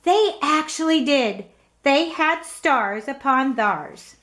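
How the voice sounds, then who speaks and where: A woman narrates a children's storybook with dramatic, emphatic expression. Her high voice moves widely in pitch, and she takes her time over the lines. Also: expressive, takes it slowly, a bit bright. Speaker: storybook reader.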